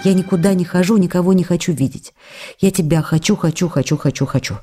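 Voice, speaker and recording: A woman's voice: on the low side, fast talker, close to an everyday voice. An actress reading a passionate young actress's letters to her husband in a radio play, ardent and reproachful by turns. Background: music.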